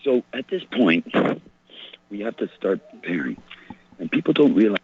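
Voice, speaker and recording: A man calling in to a radio show with a hoarse voice, rambling and distracted as he talks. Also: on the low side, very animated, moderate pace. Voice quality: hoarse, husky. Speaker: caller. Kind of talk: phone call to radio show. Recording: muffled lo-fi phone line.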